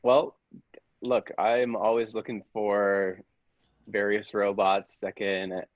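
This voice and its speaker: A man playing a sheepish, defensive boyfriend who stammers haltingly through an improvised comedy sketch. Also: lowish voice, little pitch movement, no room sound, muffled recording. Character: sheepish, defensive boyfriend obsessed with robot gadgets.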